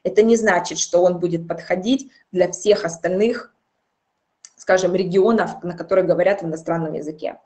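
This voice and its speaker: Woman, 30s, language teacher, patient explanations, slow repeated examples, webcam in small room. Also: lowish voice, steady tone, darkish tone. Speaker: English teacher. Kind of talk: recorded online grammar lesson.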